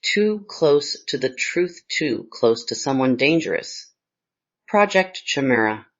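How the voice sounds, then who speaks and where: A man's voice: medium pitch, big pitch swings, slow. A man playing a grave, fearful investigator mother in a screenplay reading. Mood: grave, urgent. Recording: slightly dull, lo-fi sound.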